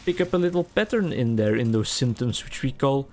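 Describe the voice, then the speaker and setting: A man's voice: mid-range voice, expressive, brisk, a little mellow. Young man, native Dutch speaker, gaming commentary, chatty and rambling, mid-range voice. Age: young adult. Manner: chatty, rambling, self-aware. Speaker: gaming video creator. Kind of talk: casual gameplay commentary.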